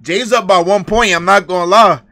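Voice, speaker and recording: A young man from the Bronx, hosting a livestream and joking loudly with crude slang into a headset. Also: high-pitched, normal speed. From the Bronx, New York. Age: young adult. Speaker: livestream host. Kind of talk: casual livestream banter. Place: indoor room.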